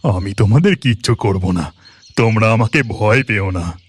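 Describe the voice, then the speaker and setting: A man playing a kindly, poor village woodcutter who talks in a gentle, plain, reassuring way in a fairy-tale cartoon. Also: fairly low pitch, lively intonation, a little slow, breathy edge.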